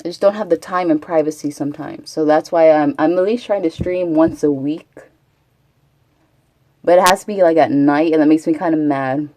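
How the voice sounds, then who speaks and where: A woman's voice: deep voice, moderate pace, mellow, no echo. A chatty woman from Texas, rambling casually as a live streamer and answering her chat. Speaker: Twitch streamer.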